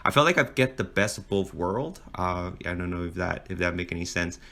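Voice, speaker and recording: A man's voice: low-pitched, animated, a little fast, pushed and bright. A man in his twenties from Hong Kong, chatting on a podcast in a rambling way, full of "like" and "right?". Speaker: podcast co-host. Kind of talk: casual podcast chat.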